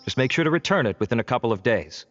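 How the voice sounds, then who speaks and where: A man's voice: mid-range voice, animated, quick pace, a bit bright, highs cut off, faint music behind, lightly acted. A man playing a polite, dutiful investigating officer in a video game, speaking in a courteous, formal way. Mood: matter-of-fact.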